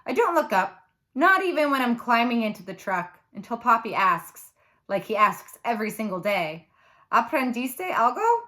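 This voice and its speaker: A woman reading a children's novel aloud like a teacher, with animated, dramatic emphasis. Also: medium pitch, normal speed.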